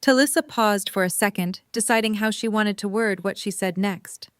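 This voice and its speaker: A woman's voice: mid-range voice, normal speed, dry recording, studio quality. A woman reading scripted third-person narration for an audio drama. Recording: clear background noise with hum.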